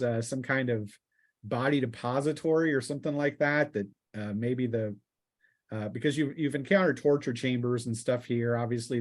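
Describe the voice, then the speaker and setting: A man narrating a tabletop roleplaying game as game master, improvising in a halting way with pauses mid-sentence. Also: mid-range voice, darkish tone.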